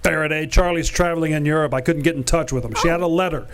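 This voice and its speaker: A man playing a glib gentleman thief in a live old-time radio play, hurriedly explaining himself. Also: a little high, quick pace, clean tone, natural voice.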